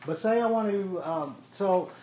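A man's voice: high voice, animated, a little slow, mellow, rough recording. A man leading a small-group Bible study, explaining word meanings conversationally and often checking in with "okay?" and "right?".

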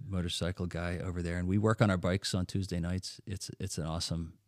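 An older man from the Netherlands, a pastor chatting as a podcast guest, telling stories in an animated, jokey way. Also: low-pitched, brisk, no echo, clean studio sound. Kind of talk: casual interview chat.

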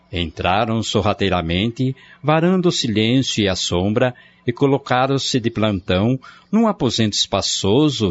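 Low-voiced Brazilian male narrator. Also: highs cut off. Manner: dramatic, formal storytelling. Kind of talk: scripted radio drama narration.

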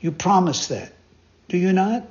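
A man's voice: high-pitched, expressive, unhurried, a little mellow. A man voicing a mischievous wooden puppet boy who whines, pleads and stammers eagerly. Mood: earnest. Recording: dull lo-fi recording.

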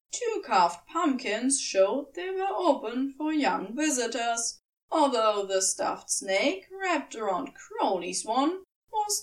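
A woman reading scripted fiction as an audiobook narrator, with a measured, storybook-like delivery. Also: on the high side, far from the mic, rough recording. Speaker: fan fiction audiobook reader.

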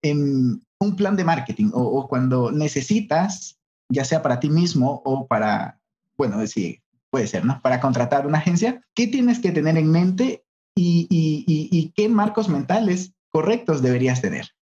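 A man with a Mexican accent hosting a casual interview in a colloquial, expressive way. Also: on the high side, moderate pace. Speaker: podcast host. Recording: dull, lo-fi online call audio.

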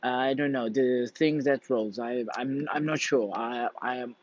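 A man's voice: mid-range voice, no room sound. A young man from Portugal with a Portuguese accent, talking casually to camera in English, his second language, often stopping to search for words. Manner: halting, searching for words, many restarts. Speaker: vlogger. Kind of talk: casual rambling monologue. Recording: dull lo-fi dry sound.

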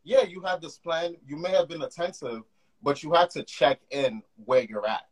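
A man's voice: on the high side, normal speed. A young man hosting a mental health podcast over a call, talking with enthusiasm in loose run-on phrases. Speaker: podcast host. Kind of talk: casual conversation.

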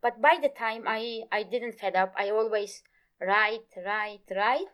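A woman, a Kurmanji speaker from Turkey, talking as an interview guest in halting English, often pausing to search for words. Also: medium pitch, fairly bright tone. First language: Kurmanji Kurdish. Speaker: guest, Kurdish language teacher. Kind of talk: casual interview conversation.

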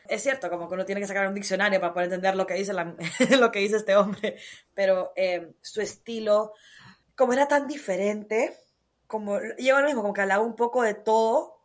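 A young woman from Honduras with a Honduran accent, a guest author telling stories in an animated, casual interview. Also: mid-range voice. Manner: animated, storytelling with many fillers.

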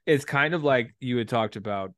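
A man's voice: mid-range voice, moderate pace, fairly bright tone, no room sound, studio quality. A man hosting a podcast in casual chat, rambling hesitantly with a self-deprecating streak. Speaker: podcast host.

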